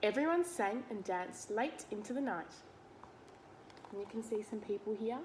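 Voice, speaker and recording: A woman's voice: mid-range voice, expressive, moderate pace, background noise. An Australian woman reading a picture book aloud to young children, expressively, talking to them directly with simple explanations and questions.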